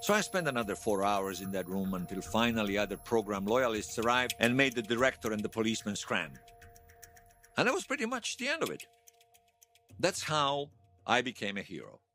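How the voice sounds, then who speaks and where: An actor playing an earnest, fervent veteran loyalist giving testimony in a fiction podcast, conversational and emphatic. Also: male voice, on the low side, big pitch swings, fairly quick, bright, forceful tone, soft background music. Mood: vivid recounting. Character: earnest male veteran loyalist recounting an uprising, fervent believer. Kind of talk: fiction podcast monologue told as interview testimony.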